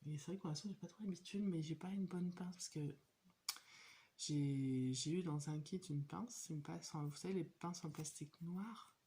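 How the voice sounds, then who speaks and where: A woman in her thirties with a cold, chatting casually and hesitantly about her crafting hobby, with many pauses and fillers. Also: sits low, dark tone. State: has a cold. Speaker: hobby vlogger. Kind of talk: casual show-and-tell chat.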